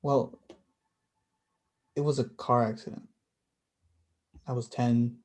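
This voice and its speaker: A man's voice: medium pitch, flat delivery, slow pace, darkish tone. Hesitant, reflective young man, acted. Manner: hesitant, conversational. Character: reflective blind young man recalling his past. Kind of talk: staged play reading.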